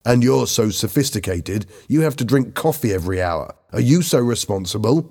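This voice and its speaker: A man's voice: medium pitch, sing-song, normal speed, darkish tone, husky. A man voicing an irritable, accusing teenage boy in a story, curt and demanding. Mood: angry.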